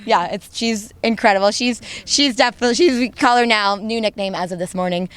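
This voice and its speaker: A woman's voice: medium pitch, fairly quick, clean tone. A young woman who leads her band, gushing enthusiastically and laughing as she talks. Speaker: band leader and founder. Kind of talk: casual interview chat.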